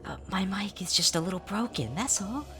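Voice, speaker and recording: A man's voice: sits high, lively intonation, fairly quick, a bit bright, voice pushed up. A man using a voice changer to voice a shy, uneasy fourteen-year-old girl who stammers and apologizes. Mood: flustered, evasive. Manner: stammering, hesitant.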